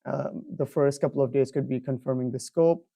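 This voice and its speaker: A man giving an informal product demo as a presenter, explaining things in a halting way with frequent filler pauses. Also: mid-range voice, fairly even intonation, vocal fry, dark tone, no room sound, studio quality.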